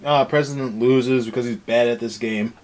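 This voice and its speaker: A man's voice: medium pitch, steady tone, normal speed, darkish tone. A man co-hosting a casual anime review rant, talking in a rambling, sarcastic way. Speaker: co-host of an anime review show. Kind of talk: casual ranting review chat.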